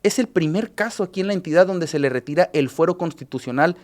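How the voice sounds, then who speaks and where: A man speaks with a Mexican Spanish accent, his voice sitting a little high for a man. He talks as a journalist guest on a radio news show, pausing often with filler sounds and restarting his sentences. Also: expressive. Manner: halting, restarts with filler sounds, recapping cases.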